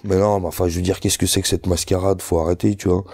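A man's voice: sits low, level tone, fast, gravelly, a little mellow, husky, no echo, clean studio sound. A man in his thirties, not a native French speaker, chatting loosely and slangily as a rapper guest in a sit-down interview, full of "tu vois" fillers. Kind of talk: casual interview chat.

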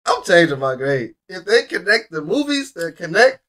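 Young male co-host, bright slightly high voice, laid-back slangy reaction chat, faint music underneath. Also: big pitch swings, moderate pace. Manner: laid-back slangy talk, frequent "you know what I'm saying". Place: small indoor room, seated in gaming chair.

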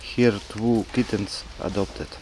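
Slow, low-voiced man in rain. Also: darkish tone, poor audio. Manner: repetitive short phrases, simple broken English. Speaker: vlogger feeding a stray cat. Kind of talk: casual narration while filming. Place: outdoors in a park on a rainy day. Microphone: camera-mounted mic, first-person filming. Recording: faint rain noise outdoors.

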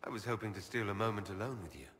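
An actor playing an earnest, direct male elf leader, with a husky voice. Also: lowish voice, lively intonation, rapid-fire, a bit bright, big-room sound, a little hiss or hum. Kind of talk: video game voice acting.

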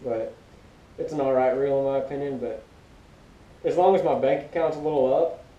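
A man's voice: steady tone, normal speed. A young American man chatting casually as co-host of a gear review, recorded in a living room on a camera a few metres away. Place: living room at home, indoors. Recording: dull muffled roomy audio, rumbly background noise.

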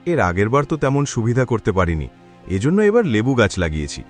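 A man's voice: lowish voice, fast talker, soft background music. A man voicing a cheerful hardworking farmer. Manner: friendly, animated. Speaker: farmer Faisal. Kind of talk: cartoon voice acting.

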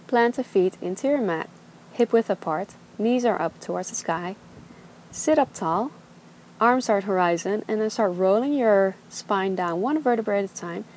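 A young woman teaching a gentle yoga class, giving slow, soothing instructions. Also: medium pitch, a little hiss or hum, low-quality recording. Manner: calm, slow, soothing cues. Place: outdoors on a beach.

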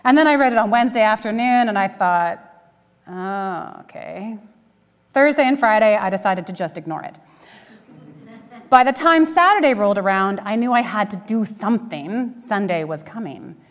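A woman's voice: medium pitch, animated. Wry woman preacher in a reverberant church. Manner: wry, dryly humorous, with deliberate pauses. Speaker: minister preaching. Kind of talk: conversational sermon. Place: church sanctuary at a pulpit. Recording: echoey church hall, long reverb.

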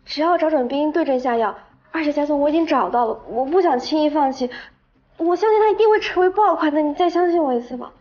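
A woman's voice: high voice, expressive, fast, darkish tone, muffled recording. A woman in her twenties playing an eager, persistent young TV planner in a screen drama, earnest and persuasive. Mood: pleading, determined. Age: 20s. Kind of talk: naturalistic screen drama acting.

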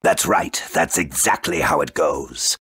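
Game arch-villain: rock-bottom pitch, raspy, grandiose, menacing, deliberate. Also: male voice, a bit bright, no room sound, clean studio sound, full character voice. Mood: ominous. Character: grandiose dark male villain bent on wiping out the world. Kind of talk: video game voice acting.

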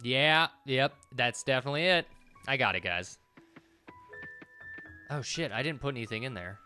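A man's voice: medium pitch, moderate pace, pushed and bright. A man in his thirties giving casual gameplay commentary, rambling and thinking aloud as he plays. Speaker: let's play host. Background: game music.

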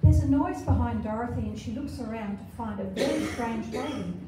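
A woman's voice: fairly high pitch, brisk. An older woman reading scripted narration for a live amateur pantomime. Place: care home common room, indoors, before an audience. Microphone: handheld microphone, captured by far camera. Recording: echoey hall, distant microphone, loud room noise.

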